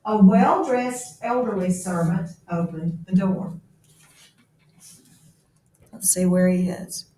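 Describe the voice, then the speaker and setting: A woman reading a story aloud like a teacher, pausing to explain it and check that listeners follow. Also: mid-range voice, dark tone. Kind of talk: read-aloud of a classic novel with explanatory commentary. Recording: echoey room, distant mic, faint noise.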